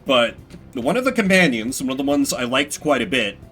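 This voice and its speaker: Male game streamer, casual rambling chat, animated retelling, bright voice, quiet background music. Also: medium pitch, fairly quick. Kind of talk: casual livestream chat with viewers.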